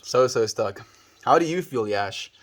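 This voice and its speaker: A man's voice: medium pitch, lively intonation, unhurried. A man with a South African accent, streaming live and chatting casually with viewers, easygoing and full of dude and bro. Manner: easygoing, calls viewers dude and bro, trails off mid-sentence. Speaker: livestreamer. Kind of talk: casual livestream chat answering viewers.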